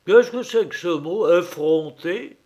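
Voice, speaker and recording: A man voicing a rowdy drunk masked man who bosses and mocks everyone in a loud, jeering way. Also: a little high, slow, dull audio, voice pushed up. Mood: angry.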